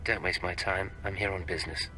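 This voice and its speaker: An actor playing a cold, curt imperial intelligence agent in a video game, clipped, menacing and businesslike. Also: male voice, low voice, steady tone, a little fast, pushed and bright, rough recording. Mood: cold, stern. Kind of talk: video game cutscene acting.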